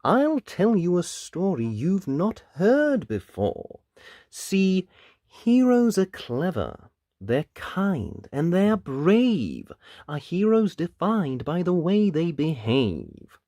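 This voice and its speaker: A man's voice: wildly swooping pitch, slow, dark tone, no echo, studio quality, heavily acted. Man voicing a bold, emphatic storybook wolf. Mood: confident, earnest. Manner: booming, emphatic delivery. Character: loud, bold storytelling wolf, clever and kind.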